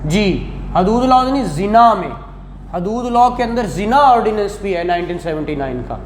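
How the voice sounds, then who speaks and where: A man's voice: high voice, lively intonation, moderate pace. A man with a Pakistani accent giving a classroom law lecture in a didactic way, asking rhetorical questions and repeating key phrases. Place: indoor classroom. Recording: echoey classroom with faint noise.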